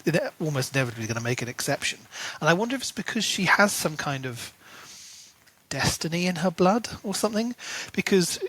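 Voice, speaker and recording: A man co-hosting a book podcast, his voice sitting slightly higher than most men's. He talks in an animated, casual way and slips into short imitations of the characters as he makes his points. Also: poor audio. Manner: animated, acts out characters' lines in quick asides. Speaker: podcast co-host.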